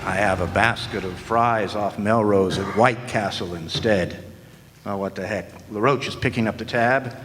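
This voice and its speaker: A man's voice: on the low side, moderate pace, very noisy. A man narrating as a wry, sardonic hard-boiled detective in the style of 1940s noir radio drama. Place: historic club hall, live audience performance. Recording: long echoing hall reverb.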